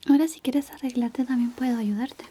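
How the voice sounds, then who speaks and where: Breathy woman whispering ASMR. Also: on the high side, steady tone, brisk. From Chile. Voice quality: soft, breathy, half-whispered. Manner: gentle, hesitant, intimate. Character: shy, caring female high school classmate sketching a friend. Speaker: ASMR roleplayer. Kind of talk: soft-spoken ASMR roleplay.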